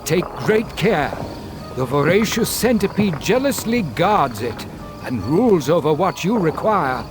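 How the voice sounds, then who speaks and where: An actor voicing a weary, grave creature who gives solemn, oracular counsel in a dark fantasy video game. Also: male voice, on the high side, very animated, measured pace, over music. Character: weary, grave wise creature guiding a girl.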